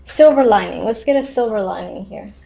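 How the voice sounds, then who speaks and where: A woman's voice: medium pitch, brisk, vocal fry, very noisy. A woman giving a casual, unscripted tarot reading, thinking aloud conversationally with wry asides. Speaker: tarot card reader.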